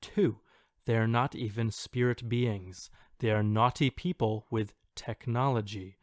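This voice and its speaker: A man's voice: on the low side, steady tone, a little slow, dry recording, studio quality. A man co-hosting a casual podcast, chatting and reading posts aloud in an amused, sometimes hesitant way. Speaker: podcast co-host.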